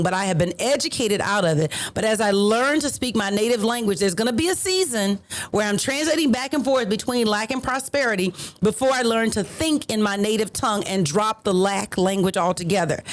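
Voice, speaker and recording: A woman co-hosting a podcast, talking in an earnest, emphatic way and repeating her key phrases. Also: medium pitch. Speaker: podcast co-host. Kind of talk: casual motivational chat.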